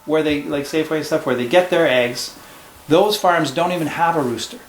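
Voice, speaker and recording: A man's voice: on the high side, fairly quick, reverberant, a little hiss or hum, poor audio. A man teaching at home, explaining a storybook lesson to a child patiently and step by step. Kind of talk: casual classroom discussion.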